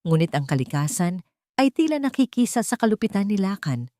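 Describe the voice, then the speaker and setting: A woman's voice: medium pitch, big pitch swings, brisk, warm tone, no room sound, clean studio sound. A woman narrating a dramatic scripted love story in a solemn, melodramatic manner.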